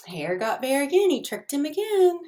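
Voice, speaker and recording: A woman's voice: high-pitched, very animated, moderate pace, dark tone. A woman reading a children's storybook aloud expressively, addressing her young listeners as she goes.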